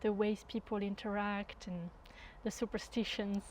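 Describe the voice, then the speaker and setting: A woman in her thirties from France, a guest sound artist talking unhurriedly and often pausing to search for words. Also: mid-range voice, little pitch movement, a little mellow, slight background noise, poor audio. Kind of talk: casual conversation.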